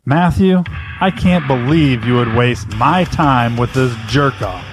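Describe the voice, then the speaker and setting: A man's voice: medium pitch, expressive, measured pace, over music, close to an everyday voice. An actor playing a plain-spoken, protective father in an audio drama. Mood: indignant. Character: easygoing but fiercely protective father.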